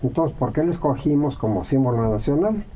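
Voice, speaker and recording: A Mexican man, a radio guest, answering at length in a reflective way, with many pauses and fillers. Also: mid-range voice, expressive, lo-fi sound.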